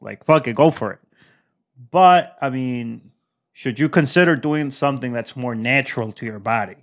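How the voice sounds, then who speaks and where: Young man, relaxed podcast co-host, slightly congested, easy code-switching delivery. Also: mid-range voice, moderate pace. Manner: relaxed, drops Spanish phrases into English. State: still congested, clearing throat. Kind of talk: casual podcast chat.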